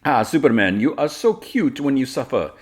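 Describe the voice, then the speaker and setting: A man voicing a flirty, taunting foreign villainess who speaks broken English with drawn-out jabs. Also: medium pitch, very animated, husky, voice pushed up.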